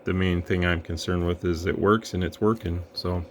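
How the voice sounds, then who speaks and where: Man, deep voice, flat delivery, relaxed rambling demo talk, faint background hiss. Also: moderate pace. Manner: relaxed, rambling, thinks aloud with fillers. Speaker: radio equipment reviewer. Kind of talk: casual hands-on demo narration.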